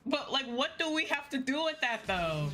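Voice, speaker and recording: A woman's voice: high voice, sing-song, moderate pace, noisy background. A woman streaming a video game with casual, reactive commentary, sassy and salty with her swearing. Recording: streamer voice over faint game music.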